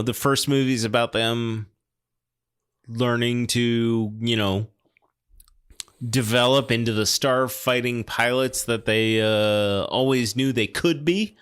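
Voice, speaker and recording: A man in a casual podcast discussion, talking in a thoughtful, discursive way with halting phrasing. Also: fairly low pitch, fairly bright tone, smooth. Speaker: podcast co-commentator.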